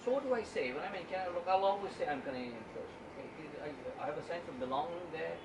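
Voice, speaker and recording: A man addresses a small audience in a loose, conversational way and keeps restarting his sentences. His voice sits a little high. The recording is distant and roomy, with steady background noise. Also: fast. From Uganda. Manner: hesitant, often repeats and restarts words. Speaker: guest speaker, statistician. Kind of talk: informal talk to a book club audience. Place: indoor meeting room at a book club.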